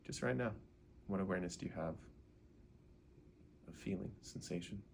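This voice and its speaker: A man leading a guided meditation in an unhurried way, gently asking questions and leaving pauses. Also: low-pitched, creaky, slight background noise.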